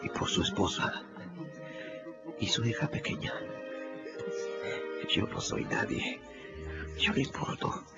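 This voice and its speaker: Low, hushed, gravelly resigned man. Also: huge pitch swings, slow pace, pauses often, full character voice. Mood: resigned, tender. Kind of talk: Spanish-language radio drama acting. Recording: dull recording, loud music and crowd behind.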